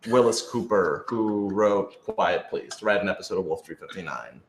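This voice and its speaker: A man's voice: low-pitched, normal speed, slight background noise. A man hosting a casual livestream chat, easygoing and thoughtful as he works through his answers. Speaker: host and show creator. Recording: echoey room.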